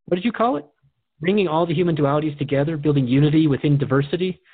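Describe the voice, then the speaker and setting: A man's voice: fairly high pitch, fast talker, breathy edge, dry recording. A man hosting a radio show in a conversational, earnest and reflective way. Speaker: radio host. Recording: dry, phone-like narrow band.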